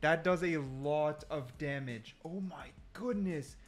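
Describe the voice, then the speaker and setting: A man hosting gaming videos with casual, animated gameplay commentary, often repeating phrases for emphasis. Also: on the high side, slow pace, over music.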